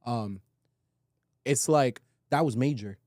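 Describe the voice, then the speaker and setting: Man, mid-pitched voice, podcast co-host, casual opinionated talk, slangy, emphatic, sweary, dry close sound. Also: slow pace, darkish tone, no room sound.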